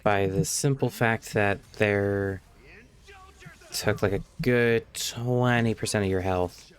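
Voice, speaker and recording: Low-voiced man streaming a game. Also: fairly even intonation, slow, clear, resonant tone. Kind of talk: reading game dialogue aloud, casual live commentary. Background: game music.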